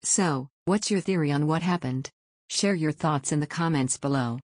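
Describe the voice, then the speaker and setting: Woman reading a true crime script, low voice, steady, dry close sound. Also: no echo. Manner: measured, reading from a script, slows on dates. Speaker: true crime channel narrator.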